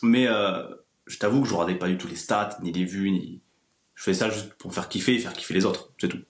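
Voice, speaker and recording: A man's voice: deep voice, brisk. A man in his twenties from France chatting casually as a podcast guest, explaining things in an informal way. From France, small village. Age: 20s. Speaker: interview guest, ASMR video creator. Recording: roomy, echoey sound.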